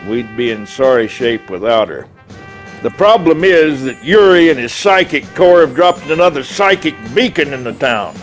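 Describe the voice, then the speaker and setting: An actor playing a folksy, gruff, confident American army general in a game cutscene, talking informally. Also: male voice, mid-range voice, expressive, soft background music, natural voice. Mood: wry, briefing. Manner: folksy, informal, drawn-out hesitations. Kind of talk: dramatic game cutscene acting.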